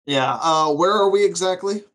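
A man's voice: high voice, normal speed, fairly bright tone. A man playing a tabletop game, talking casually with friends. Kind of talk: casual banter.

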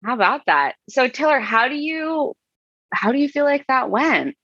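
Bright, lively woman co-hosting a podcast. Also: sits high, normal speed, slightly rough, highs cut off. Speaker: podcast co-host. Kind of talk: casual conversation.

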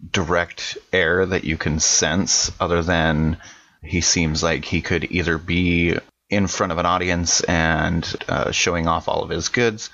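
A man's voice: deep voice, little pitch movement, measured pace, fairly bright tone, no room sound, muffled recording. A man playing a tabletop game, describing a character off the cuff.

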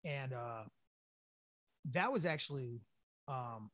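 A man's voice: medium pitch, expressive, slow, a bit bright, dry recording, studio quality. Laid-back, swearing young man. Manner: laid-back, profane, rambling with restarts. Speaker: band singer, guest. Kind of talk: casual interview chat.

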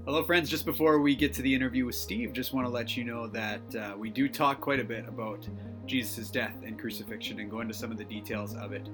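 A man hosting a casual faith podcast, talking in a relaxed, friendly way with plenty of fillers. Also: medium pitch, fast, over music. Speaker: podcast host.

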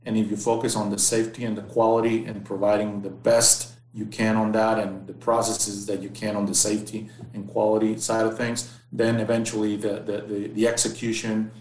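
A man's voice: on the low side, monotone, brisk, few pauses, a little mellow, echoey room, low-quality recording. A man from Mexico, a factory site manager explaining things earnestly in an interview, often repeating words as he restarts. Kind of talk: casual interview conversation.

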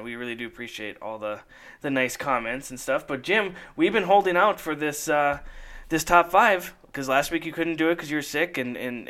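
A man's voice: mid-range voice, pushed and bright. A man co-hosting a casual podcast, chatty and laughing often, repeating his words as he goes. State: feeling run down. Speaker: podcast co-host. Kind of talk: casual chat.

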